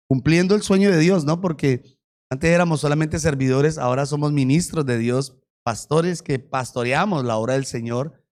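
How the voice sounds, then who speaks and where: A man's voice: mid-range voice, darkish tone. A male pastor, interviewing his wife in front of the church and joking with the congregation.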